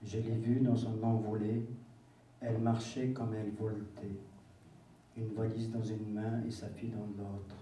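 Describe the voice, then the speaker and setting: A man's voice: lowish voice, flat delivery, measured pace, mellow, a little hiss or hum. A man reading poetry in a rhythmic, declamatory way on a theatre stage. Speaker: poetry reader. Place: theatre stage with red curtain. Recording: echoey hall from far off.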